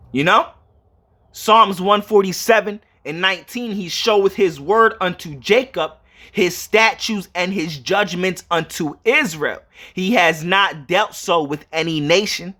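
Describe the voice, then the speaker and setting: A man's voice: sits high, bright, forceful tone. A man preaching informally, reading scripture and explaining it emphatically, repeating himself and checking that listeners follow. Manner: emphatic and repetitive, punctuated with check-in questions.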